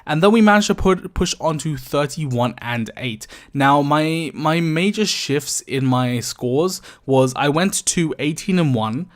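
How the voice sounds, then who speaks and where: A tired young man with a slightly croaky voice giving casual, chatty commentary over gameplay footage, talking to his viewers with plenty of ums. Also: fairly high pitch, expressive, measured pace, hardly any pauses. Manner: chatty, addresses viewers as guys, many ums. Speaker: gaming YouTuber.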